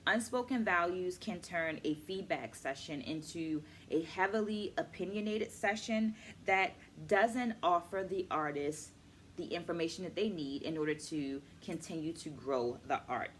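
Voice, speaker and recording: A middle-aged woman hosting a book club vlog, explaining to camera with deliberate, emphatic phrasing. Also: lowish voice, a little hiss or hum. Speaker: host of a virtual book club, educator. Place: small furnished room at home, indoors.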